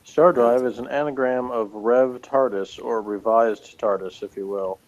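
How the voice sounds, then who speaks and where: Man, podcast co-host, mid-pitched, dry wry delivery of review notes, slightly muffled sound. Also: fairly even intonation, a little slow, a little mellow. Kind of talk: casual review chat.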